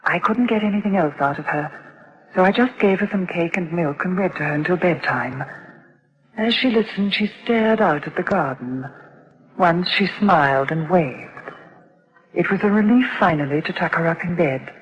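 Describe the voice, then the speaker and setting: A soft, earnest young mother who narrates and frets, old radio drama, lo-fi. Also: fairly low pitch, animated, normal speed, slightly rough. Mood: calm. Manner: soft, earnest, hesitant when worried. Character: anxious young foster mother, gentle and protective. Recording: dull lo-fi old radio recording.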